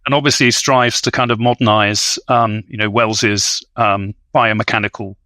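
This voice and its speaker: Bright-voiced man, halting and scholarly. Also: lowish voice, steady tone, dry recording. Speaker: guest film commentator. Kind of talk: thoughtful film discussion.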